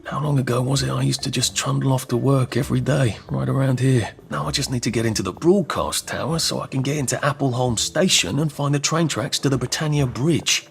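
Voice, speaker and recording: Creaky, wistful British office man played by an actor. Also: mid-range voice, a little fast, hardly any pauses, lightly acted. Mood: wistful musing. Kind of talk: video game cutscene acting.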